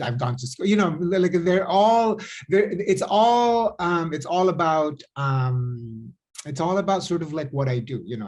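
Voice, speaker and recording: A man's voice: mid-range voice, a little mellow. A man from the Philippines, a theater and film designer telling his story on a panel, rambling and restarting often. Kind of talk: panel talk over video call.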